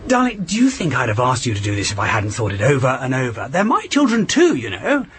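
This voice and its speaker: An actor playing an urbane, persuasive English diplomat and husband in a radio drama. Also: male voice, medium pitch, wildly swooping pitch, quick pace, fairly bright tone. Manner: urbane, old-fashioned, hesitant when coaxing. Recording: clear noise behind the voice.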